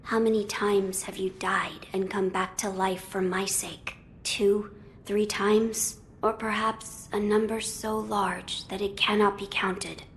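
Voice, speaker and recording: A woman voicing a young noble boy lord in a video game, formal, measured and earnest. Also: medium pitch, flat delivery, slight background noise. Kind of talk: video game cutscene acting.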